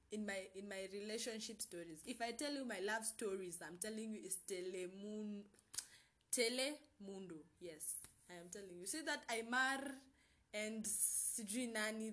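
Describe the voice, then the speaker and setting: A woman's voice: mid-range voice, a bit bright. A young woman with a Kenyan accent hosting her own podcast, talking in a bubbly, hyped, chatty way and mixing in Swahili slang. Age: 20s. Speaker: podcast host. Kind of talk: casual solo podcast intro.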